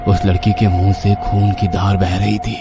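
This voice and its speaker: A man's voice: low-pitched, flat delivery, normal speed. A man narrating a scripted horror story in a dramatic, suspenseful manner. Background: background music.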